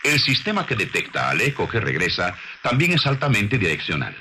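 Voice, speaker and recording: Male documentary narrator. Low register, expressive melodic delivery, measured and didactic. Scripted science read with clear background noise.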